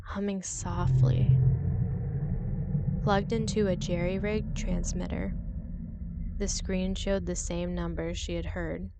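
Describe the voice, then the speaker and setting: Slow-paced woman narrator over heavy noise. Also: lowish voice, level tone. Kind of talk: scripted suspense story narration.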